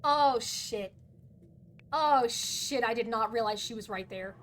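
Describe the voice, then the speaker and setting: A woman streaming a game live, commentating and talking to her chat, swearing freely with excitable outbursts, her voice a little hoarse. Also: a little high, takes it slowly, a little hiss or hum. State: a bit hoarse.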